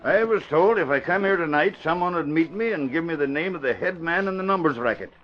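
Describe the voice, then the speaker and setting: An actor playing an indignant, agitated police sergeant protesting in a 1940s radio crime drama. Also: male voice, fairly high pitch, expressive, brisk, natural voice. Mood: defensive. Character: flustered, indignant male police sergeant caught out. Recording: muffled vintage radio, faint noise.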